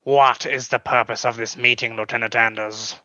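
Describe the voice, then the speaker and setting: Sharp, monotone alien man, acted. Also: medium pitch, moderate pace, dull audio. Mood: curt, unimpressed. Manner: flat, clipped, formal. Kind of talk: audio drama acting.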